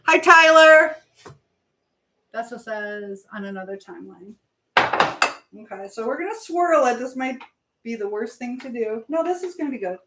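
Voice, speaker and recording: A woman's voice: fairly high pitch, lively intonation, normal speed, noisy background. A woman hosting a casual live chat, rambling as she reads out and answers viewer comments. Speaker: livestream host. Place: indoors at home, in an echoey room. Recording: echoey room.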